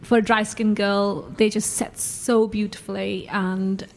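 A woman's voice: medium pitch, level tone, unhurried, gravelly. A woman from Yorkshire gushing about a favourite product. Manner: gushing, enthusiastic.

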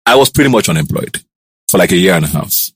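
Nigerian man, 30s, TV host, interview, very low voice, close and dry sound. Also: quick pace, slightly rough, no room sound. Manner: animated, jumps up in pitch to act out quoted voices. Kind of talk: casual interview storytelling.

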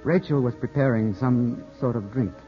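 Man acting a proud young gentleman, dull old radio sound. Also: mid-range voice, normal speed, close to an everyday voice. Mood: somber narrating. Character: jealous, hot-headed young English gentleman, brooding and proud. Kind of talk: 1950s radio drama acting and first-person narration. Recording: dull 1950s radio broadcast, music behind.

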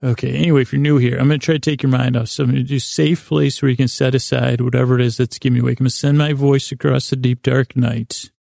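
A man hosting a bedtime podcast in a rambling, whimsical monologue, meandering and stumbling over words, with a gravelly, creaky voice. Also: mid-range voice, little pitch movement, quick pace, hardly any pauses, mellow, no echo, clean studio sound. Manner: silly, whimsical, soothing intent. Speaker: podcast host.

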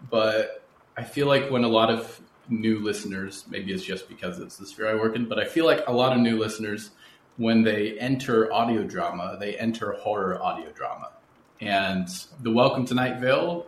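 Guy podcast host, lowish voice, thoughtful n wandering, roomy echo w some noise. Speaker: interview host, horror writer. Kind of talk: conversational podcast interview.

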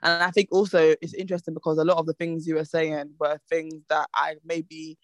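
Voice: mid-range voice, flat delivery, a little fast, no echo. A podcast host asking questions and chatting with fillers. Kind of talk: podcast interview.

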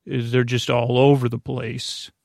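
A man with a creaky, gravelly voice rambling hesitantly as a bedtime podcast host, soothing, low-key and droll as he drifts off topic. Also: level tone, unhurried, a little mellow, no room sound, studio quality. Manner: meandering, hesitant, trailing off and digressing.

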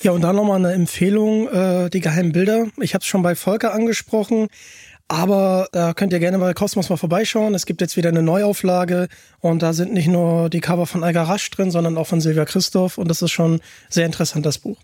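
A man's voice: high voice, fairly even intonation, fairly quick, dark tone, no echo, studio quality. A man hosting a casual fan podcast, chatting in an enthusiastic way. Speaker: podcast host.

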